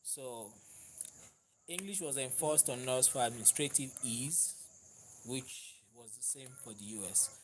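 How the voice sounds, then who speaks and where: A man's voice: medium pitch, a little slow, slight background noise. Nigerian man chatting on a live stream. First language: Yoruba. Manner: conversational, warm thanks to viewers, laughs easily. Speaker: livestream host. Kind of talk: casual live chat, reading viewer comments.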